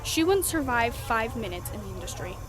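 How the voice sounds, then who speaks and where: A woman playing a snobby, jealous, bossy high school girl with a haughty, whiny manner in an audio drama. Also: big pitch swings, brisk. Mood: catty. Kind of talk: scripted audio drama acting.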